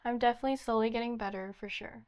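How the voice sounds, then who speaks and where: A woman's voice: on the high side, steady tone, racing, darkish tone. A young woman from the United States answering interview questions earnestly, often repeating "definitely" and "just". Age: young adult. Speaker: pageant candidate, interviewee.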